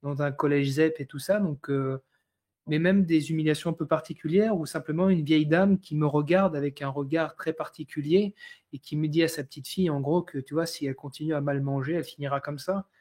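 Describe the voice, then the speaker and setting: A man in his thirties from France, a guest sharing his life story in a live online talk, speaking with many pauses and restarts. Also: fairly high pitch, darkish tone. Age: 30s. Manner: hesitant, many fillers and restarts.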